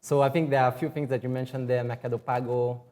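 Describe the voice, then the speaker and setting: Upbeat, mellow-voiced male moderator. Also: mid-range voice, level tone, fast. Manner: upbeat, welcoming, inviting the audience to join in. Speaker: webinar moderator. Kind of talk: live webinar hosting. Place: company headquarters office.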